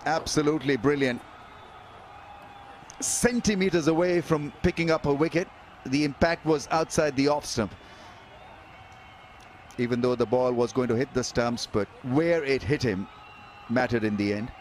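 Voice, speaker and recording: A man giving live cricket commentary, calling scores and figures and getting excited when wickets fall. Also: medium pitch, moderate pace, pauses often, a little hiss or hum. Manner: excitable, rises sharply on wickets.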